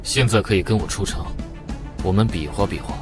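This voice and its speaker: A man voicing a hardened, defiant, world-weary young ex-soldier in an animated comic drama, speaking in terse, unhurried, commanding lines. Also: fairly low pitch, close to an everyday voice. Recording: music behind the voice. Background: dramatic music.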